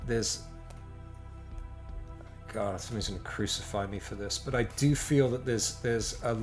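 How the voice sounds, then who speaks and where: A man's voice: fairly low pitch, normal speed, soft background music. A middle-aged American man, a podcast guest, talking casually in a rambling way with many restarts and some blunt profanity. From United States. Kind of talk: casual interview chat.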